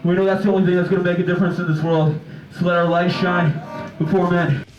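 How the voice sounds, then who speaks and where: A man's voice: high-pitched, level tone, fast. A man speaking from a venue stage, earnestly urging the crowd in a preaching style. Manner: earnest, exhorting. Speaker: band member speaking from stage. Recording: echoey venue, dull sound, faint music.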